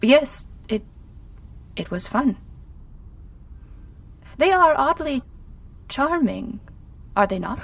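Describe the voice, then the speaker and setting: A woman's voice: high voice, big pitch swings, slow pace, vocal fry, long pauses, far from the mic, exaggerated voice. An actress playing a formal, dutiful agent in an audio drama, stiff and precise in her careful wording. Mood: formal, hesitant. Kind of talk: scripted audio drama acting.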